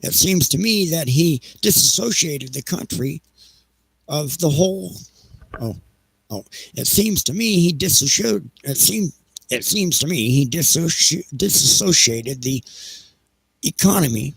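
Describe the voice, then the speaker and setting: A man playing a peevish, defensive railroad executive in an audio drama, with whiny, halting, indignant delivery. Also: on the high side, lively intonation, creaky, a bit bright, slightly rough, no echo, voice pushed up, full character voice.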